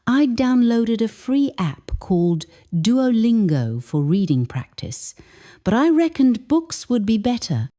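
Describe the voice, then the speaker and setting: Slow, mellow woman narrating. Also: fairly high pitch, big pitch swings. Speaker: English teacher narrating a story. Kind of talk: scripted first-person story narration.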